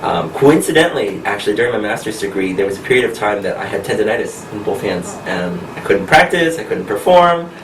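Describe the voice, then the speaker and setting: A friendly man from the United States, in his thirties, talks through his music career slowly and clearly, laughing easily. His lowish voice comes from a distance in an echoey room over a steady hum of air conditioning noise. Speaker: pianist and vocal coach. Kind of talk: relaxed interview answers.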